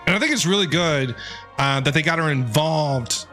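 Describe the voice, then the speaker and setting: A man's voice: a little high, expressive, moderate pace, sharp tone. A man doing casual, enthusiastic live game commentary as a streamer, repeating his exclamations. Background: game music.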